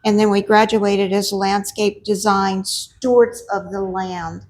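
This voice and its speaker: A woman with a low speaking voice introduces herself to a room in a quick, friendly way, checking in with the audience as she goes. A faint hiss of noise sits behind her voice. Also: flat delivery, darkish tone. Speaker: master gardener presenter.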